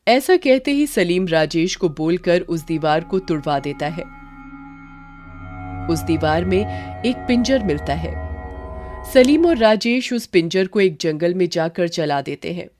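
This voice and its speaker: A woman's voice: fairly low pitch, lively intonation, a little slow. Woman narrating a story over music. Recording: music behind.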